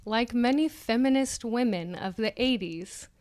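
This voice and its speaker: A woman's voice: a little high, unhurried, darkish tone. A woman co-hosting a podcast, reading an essay aloud in a dramatic style mixed with casual banter. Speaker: podcast co-host.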